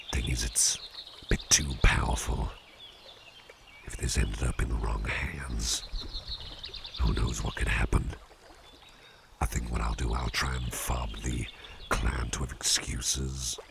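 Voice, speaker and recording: A man playing a calm, playful businessman boss in a slow, intimate ASMR roleplay, speaking softly and soothingly, often close to a whisper, with a gravelly voice. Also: background noise, exaggerated voice. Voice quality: very deep, gravelly. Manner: soft, soothing, unhurried, often dropping near a whisper. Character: calm, playful businessman boss hypnotizing his employee with a pendant.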